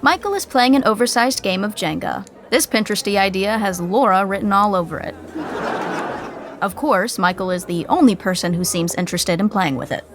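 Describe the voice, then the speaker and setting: A woman narrating a scripted sitcom podcast with wry, deadpan asides. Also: medium pitch, a little fast, soft background music.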